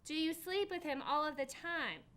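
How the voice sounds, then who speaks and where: A woman's voice: high-pitched, moderate pace, a bit bright, voice pushed up. A woman voicing an eager, slightly anxious little boy in a storybook, asking things with emphatic curiosity. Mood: insistent, curious. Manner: inquisitive, emphatic questioning. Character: Ira, eager anxious little boy narrating his sleepover.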